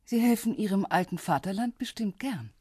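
Radio crime drama policewoman, younger, dark soft-edged voice on a phone call, composed and insistent. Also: mid-range voice, animated, fairly quick, husky, no echo, clean studio sound. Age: young adult. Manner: businesslike, persistent, polite. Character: composed, insistent younger female Danish intelligence police officer.

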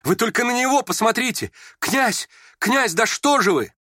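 A man's voice: very high voice, expressive, normal speed, sharp tone, no room sound, clean studio sound, voice pushed up, heavily acted. An alarmed teenage boy, as a male narrator puts him on, with a voice lifted far up. He calls out urgently and pleads, in the manner of a dramatic audiobook. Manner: crying out urgently.

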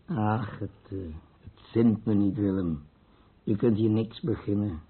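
An actor playing an uneasy, sullen smuggler with a husky voice in a radio play. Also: male voice, on the low side, unhurried. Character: uneasy, glum, restless male smuggler. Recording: tinny old radio play recording.

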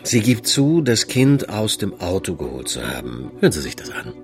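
An actor playing a dry, worldly police commissioner in a German radio crime drama, husky and measured. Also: male voice, low voice, sing-song, a little mellow, faint music behind. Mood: sober. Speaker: police investigator.

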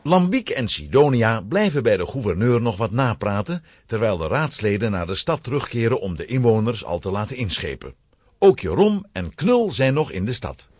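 A man narrating a scripted children's story with a husky voice. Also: fairly low pitch, very animated, moderate pace, a little mellow, dry recording, clean studio sound.

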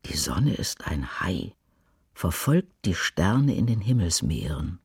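A man performing a grave prophetic witness to war in a radio drama, declaiming slowly in a husky, breathy voice. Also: low-pitched, big pitch swings, darkish tone, slight background noise, heavily acted. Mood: grave, visionary. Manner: slow, declamatory, weighty. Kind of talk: dramatic radio poetry recitation.

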